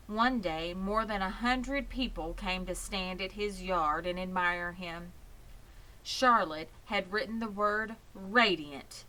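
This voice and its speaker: A woman narrating a children's story in an expressive, measured way. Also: on the low side, a little hiss or hum, poor audio. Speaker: audiobook reader.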